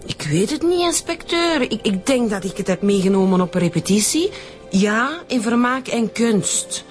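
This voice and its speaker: An actress playing a brash, evasive married suspect in a radio drama, with a Flemish accent and a defiant manner. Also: female voice, on the high side, expressive, moderate pace, faint music behind, close to an everyday voice. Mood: flustered, defensive. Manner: defiant, swearing.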